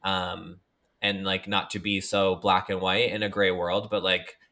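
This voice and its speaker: A man's voice: deep voice, level tone, unhurried, bright, forceful tone. A man co-hosting a podcast, talking in a casual, playful way with many fillers. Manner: chatty, filler-heavy, playful. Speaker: podcast co-host. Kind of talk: casual chat.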